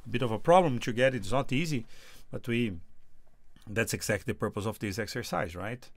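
A man whose first language is Portuguese, reviewing music software in a casual, halting way, often repeating words. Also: mid-range voice. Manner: halting, repeats words, sings test notes loudly.

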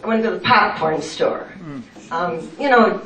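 An older woman speaking up at a town meeting, halting and hesitant, admitting she is nervous. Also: lowish voice, expressive, a bit bright. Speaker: resident speaking at a public meeting. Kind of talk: public comment at a town meeting. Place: meeting room with a projection screen. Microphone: far room microphone. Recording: roomy hall, distant microphone.

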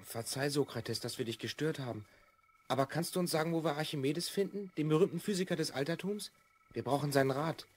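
Boy time traveller, twelve. Children's audio drama, highish pitch, earnest, emphatic delivery. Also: brisk, husky. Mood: anxious, despairing.

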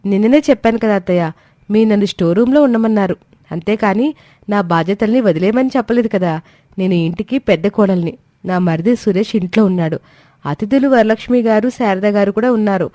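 A woman playing a patient young daughter-in-law in an animated moral story, polite, measured and quietly firm. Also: medium pitch, no echo, studio quality, close to an everyday voice. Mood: calm, polite.

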